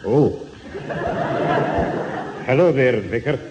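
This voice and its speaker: A man's voice: medium pitch, expressive, takes it slowly, a crowd in the background, noisy background. A man playing a doddery, bumbling old grandad in an old radio sitcom. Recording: echoey, muffled old radio recording.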